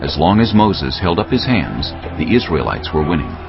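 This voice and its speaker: A man narrating a dramatized scripture reading in a measured, solemn storytelling manner. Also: extremely low pitch, sing-song, creaky, lo-fi sound, music in the background.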